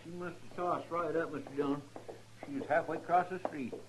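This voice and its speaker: A man's voice: fairly high pitch, fairly even intonation, brisk, natural voice. A man with a rural American accent playing a simple, obedient frontier deputy in an old-time radio western, folksy and deferential. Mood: eager, dutiful. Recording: noisy old radio drama recording.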